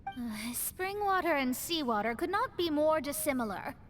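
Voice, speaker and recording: A young female astrologer in a fantasy game, proud but quick to fluster. Her voice is very high and lively, prim in delivery, over faint background noise. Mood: prim, puzzled.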